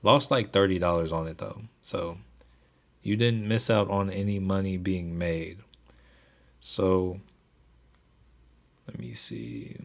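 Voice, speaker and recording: A young man with a very low voice that stays soothing and even. He hosts a stock trading livestream, chatting loosely with his viewers and reading their comments aloud in a relaxed way. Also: slow, vocal fry, long pauses. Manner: laid-back, keeps delivery even, reads chat aloud. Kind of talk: casual livestream chat with viewers.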